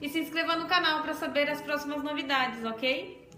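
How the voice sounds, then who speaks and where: A woman, hosting a language lesson and reading a practice dialogue in a plain, deliberate way. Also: high-pitched, sharp tone, faint music behind. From Brazil. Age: 40s. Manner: plain, deliberate delivery of textbook phrases. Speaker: channel host, language lesson presenter. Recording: roomy echo.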